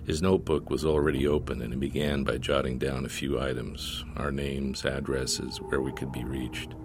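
A man's voice: very deep voice, flat delivery, moderate pace, over music. A man reading his short story as a scripted narration in a wry, folksy storytelling manner.

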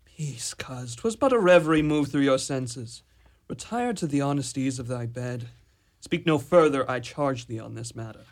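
A man playing a troubled, brooding young prince in an amateur radio drama, speaking in a measured, solemn hush. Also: mid-range voice, lively intonation, natural voice.

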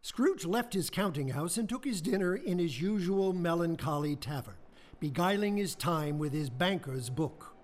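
An actor narrating a Victorian ghost tale for a radio play, in a measured, theatrical storytelling manner. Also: male voice, on the high side, expressive, lightly acted. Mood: atmospheric.

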